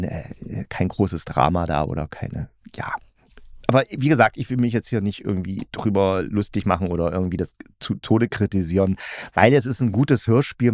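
Man, lowish voice, dry close sound, casual podcast host, colloquial rambling delivery. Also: a little mellow, no room sound. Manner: colloquial, rambling, hedged opinions. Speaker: podcast host reviewing audio dramas. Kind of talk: casual solo review talk.

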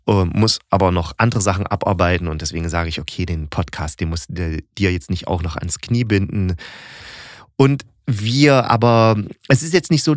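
A man with a fairly low voice talks as a solo podcast host in a dry, close-sounding recording. He is chatty and colloquial, reviewing in a casual way and often thinking aloud. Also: dry recording. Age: adult.

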